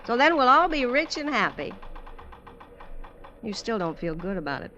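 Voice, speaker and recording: A woman's voice: a little high, very animated, moderate pace, sharp tone. An actress in a 1950s radio drama, playing and narrating as a wry, streetwise, colloquial showgirl castaway. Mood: wistful, probing. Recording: dull vintage radio recording.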